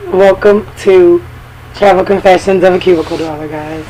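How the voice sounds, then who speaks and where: A hoarse woman with a cold hosting a podcast in casual, chatty talk full of fillers and asides. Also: on the low side, mellow, a little hiss or hum, rough recording. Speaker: podcast host.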